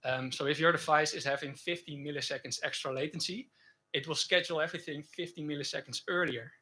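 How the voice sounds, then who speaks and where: A man giving a technical conference talk and answering questions, speaking haltingly with frequent self-corrections. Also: fairly high pitch, fairly even intonation, bright, forceful tone, slightly rough. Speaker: conference speaker presenting a project.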